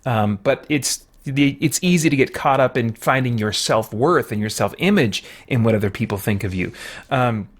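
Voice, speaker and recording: A man's voice: medium pitch, lively intonation, moderate pace. A man hosting a review show, giving reflective, earnest, explanatory commentary straight to his viewers.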